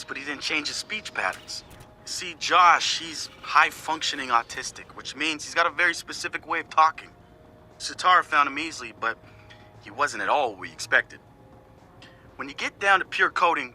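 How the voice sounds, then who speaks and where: An actor playing a confident young hacker activist, recording a casual, slangy, wry audio log for a video game. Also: male voice, on the high side, pushed and bright, a little hiss or hum. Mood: earnest. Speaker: hacker group leader narrating audio logs.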